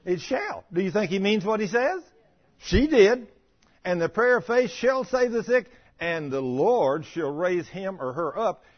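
A man's voice: high voice, sing-song, a little slow, muffled recording. A man preaching in a folksy, emphatic way, reading scripture and putting plain questions to the congregation.

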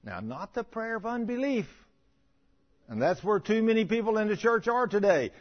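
A man's voice: high voice, lively intonation, normal speed, highs cut off. A man preaching in a folksy, emphatic way, reading scripture and putting plain questions to the congregation.

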